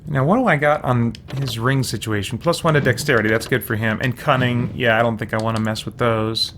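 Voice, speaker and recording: A man giving casual let's play commentary, thinking out loud to himself as he makes decisions. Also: medium pitch, fairly even intonation, fairly quick, a little hiss or hum. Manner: musing, talks to himself while deciding. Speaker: video game streamer.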